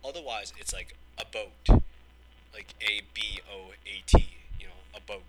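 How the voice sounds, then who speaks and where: Low-voiced young man, rambling. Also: normal speed, heavy background noise. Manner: rambling, many fillers, joking. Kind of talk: casual chat.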